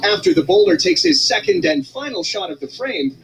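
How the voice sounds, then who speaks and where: A man narrating a televised documentary segment in a measured, smooth delivery with sweeping rises in tone. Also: very high voice, wildly swooping pitch, rough recording. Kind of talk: scripted narration. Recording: music playing under the voice.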